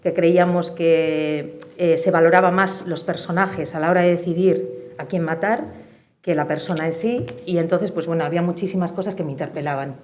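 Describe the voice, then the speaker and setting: Middle-aged female panelist, Spain accent, lowish voice, personal testimony, background noise. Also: fairly even intonation, normal speed, a little mellow. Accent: Spanish from Spain. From Spain, Madrid and the Basque Country. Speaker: panelist, peace movement activist. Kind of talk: personal spoken testimony at a forum. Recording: clear background noise and room ambience.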